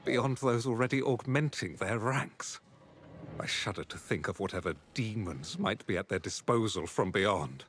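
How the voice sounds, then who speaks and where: A man playing a sober military leader in a fantasy video game, with formal, measured wording. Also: lowish voice, lively intonation, fairly bright tone, faint music behind. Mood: grave, pleased. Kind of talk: scripted video game dialogue.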